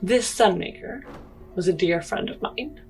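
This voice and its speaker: A woman's voice: fairly low pitch, expressive, unhurried, a little mellow. A woman playing a tabletop role-playing game, improvising in character in a hesitant manner. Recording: music behind the voice. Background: music.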